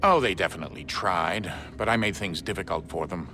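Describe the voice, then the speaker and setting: An actor playing a thoughtful, matter-of-fact team member in a video game, explaining things frankly in conversation. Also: male voice, sits low, fairly quick, pushed and bright, soft background music. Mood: explanatory, concerned.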